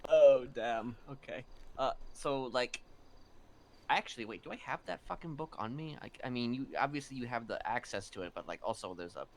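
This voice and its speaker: A man's voice: mid-range voice, normal speed, a little hiss or hum. A man chatting casually in character during a roleplay, hesitant and full of fillers. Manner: animated, hesitant, filler-heavy.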